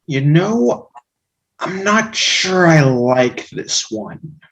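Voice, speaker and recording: A woman's voice: deep voice, expressive, drawn-out words, creaky, full character voice. A woman in a comedic play reading, playing a scheming, exasperated parent with a theatrical, sarcastic delivery.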